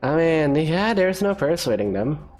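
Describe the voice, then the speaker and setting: A woman's voice: deep voice, very animated, a little slow, over music. A woman giving casual, reactive commentary as she plays through a game. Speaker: game streamer.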